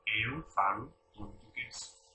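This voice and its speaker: A middle-aged male teacher leads a repetition drill at an extremely slow pace, spacing out each short phrase. His voice is sharp and forceful. He is picked up from across an echoey room with faint noise underneath. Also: lowish voice, distant mic. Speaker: language teacher.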